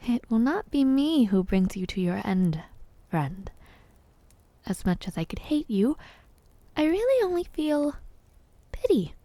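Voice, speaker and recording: A woman performs a royal mother in an intimate audio drama, wistful and gently teasing. Her voice is low-lit and mellow, with slow, measured phrasing that often softens toward a whisper. The sound is very dry, with no room around it. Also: gravelly, no echo. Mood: wry, pitying. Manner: measured, soft-spoken, often dropping to a whisper. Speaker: voice actor in audio roleplay. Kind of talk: intimate audio roleplay acting.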